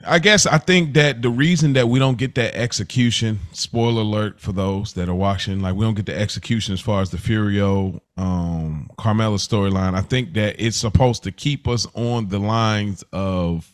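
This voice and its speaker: Podcast host, American man, lowish voice, dry close sound, colloquial and thinking out loud. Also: normal speed, hardly any pauses, no room sound. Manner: colloquial, thinking aloud, checking in with listeners. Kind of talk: casual TV show discussion.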